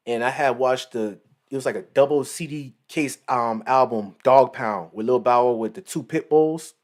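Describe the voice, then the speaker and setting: A man's voice: mid-range voice, a little mellow. A man in his late twenties giving rambling, slangy casual commentary with lots of asides. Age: 20s. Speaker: commentary channel host.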